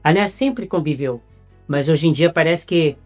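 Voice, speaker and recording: Halting Brazilian male interviewer. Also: on the high side, faint music behind. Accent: Brazilian Portuguese. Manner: halting, repeats words while finding them. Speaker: interviewer host. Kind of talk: casual interview chat.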